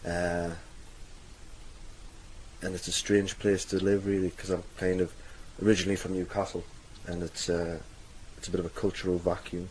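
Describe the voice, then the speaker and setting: A man's voice: low voice, level tone, normal speed, darkish tone, a little hiss or hum, rough recording. A young man from North East England with a mild Geordie accent, telling a loose, rambling anecdote in a casual recorded interview. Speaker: dialect archive speaker.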